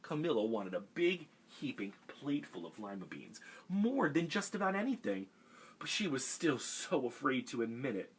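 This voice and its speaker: A man reading a picture book aloud for a children's storytime, animated and expressive. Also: medium pitch, normal speed, a little hiss or hum.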